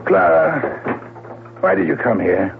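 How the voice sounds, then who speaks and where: A noisy, narrow-band old radio drama. a curt, indignant male boss with a fairly high, gravelly voice. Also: very animated, slow, music in the background, exaggerated voice. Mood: irritated, dismissive. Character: curt, dismissive male boss cornered by a threat. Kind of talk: 1970s radio drama acting.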